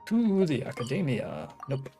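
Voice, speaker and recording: A woman with an extremely low voice chats casually as she streams a game. She rambles and mutters to herself, with faint music playing behind. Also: wildly swooping pitch, a little slow, vocal fry, warm tone. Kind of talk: casual live gameplay commentary.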